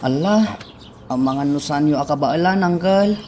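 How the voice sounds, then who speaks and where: An actress playing an earnest young maid in a radio drama, speaking anxiously. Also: female voice, sits low, moderate pace, lightly acted. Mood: gentle. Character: young woman maid, dutiful niece. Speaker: actor. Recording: distant mic, faint background noise.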